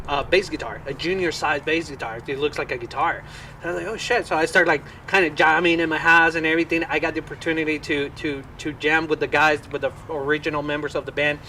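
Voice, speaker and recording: Bright-voiced young man. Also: a little high, recorded from a distance, a little hiss or hum. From Colombia. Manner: animated, laughing, swearing casually. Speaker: bass player and band guest. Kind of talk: casual storytelling chat.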